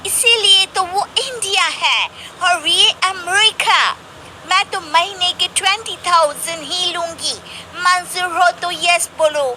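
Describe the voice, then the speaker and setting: Woman as a haughty, very high-pitched housemaid. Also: slow pace, bright, forceful tone, a little hiss or hum, heavily acted, low-quality recording. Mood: smug, firm. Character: haughty, unbudging housemaid abroad.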